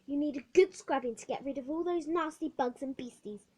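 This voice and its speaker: A woman's voice: high voice, fairly quick, warm tone, close to an everyday voice. A woman voicing a stern, scornful orphanage matron who barks orders in a story reading. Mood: scolding. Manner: scolding, commanding.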